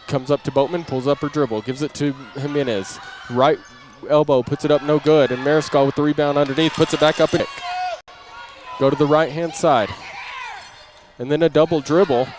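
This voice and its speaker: A man's voice: fairly high pitch, fairly even intonation, moderate pace, a little mellow. A man calling live basketball play-by-play in clipped running phrases, following each move as it happens. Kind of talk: live radio-style play-by-play commentary. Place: indoor basketball gym during a game. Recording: crowd and gym noise behind. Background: crowd, bouncing basketball.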